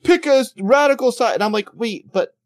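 A man's voice: very high-pitched, fairly quick. A man hosting a podcast, chatting in a loose, rambling, self-deprecating way with many restarts. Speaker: podcast host. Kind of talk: casual podcast chat.

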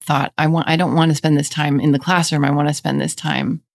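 A woman's voice: deep voice, flat delivery, a little fast, creaky, no echo, studio quality. A woman hosting a podcast, introducing and questioning her guest in an encouraging way. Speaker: podcast host. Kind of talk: podcast interview.

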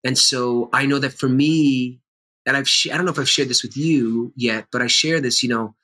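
A man's voice: medium pitch, fairly even intonation, normal speed, bright, forceful tone. A middle-aged man, an actor and teacher, talking earnestly and with animation in a conversational interview.

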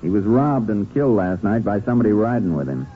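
An American actor playing a calm, methodical Texas Ranger in a 1950s radio western, terse, matter-of-fact and probing. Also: male voice, fairly low pitch, quick pace, slight background noise. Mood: firm questioning. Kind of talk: 1950s radio western crime drama acting. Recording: muffled, narrow-band 1950s radio recording.

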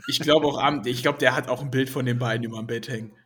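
A man with a raspy, breathy voice chats with eager enthusiasm on a podcast. He riffs and jokes freely with his co-host. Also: mid-range voice, fairly even intonation, quick pace, fairly bright tone. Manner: enthusiastic and joking. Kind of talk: casual wrestling chat.

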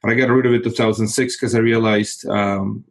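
A man in his forties from Moldova, a native Russian speaker, calmly talking about his real estate investing in a casual interview, often repeating words as he searches for them. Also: lowish voice, fairly quick, gravelly. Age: 40s.

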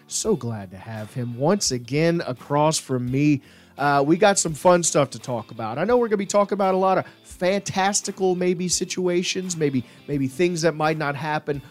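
A man hosting a casual sports podcast in a playful, animated way. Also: on the high side. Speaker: podcast host.

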